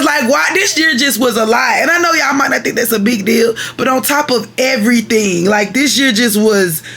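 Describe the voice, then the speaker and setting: Woman vlogger, raspy and gravelly, rambling and chatty. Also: medium pitch, a bit bright. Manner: rambling, chatty, direct with viewers. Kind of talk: casual chat to viewers. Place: at home at her vanity.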